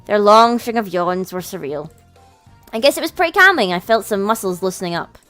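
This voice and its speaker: A woman's voice: medium pitch, expressive, fairly quick, a bit bright. A woman reading a visual novel aloud as a let's play host, with casual reactions of her own. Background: game music.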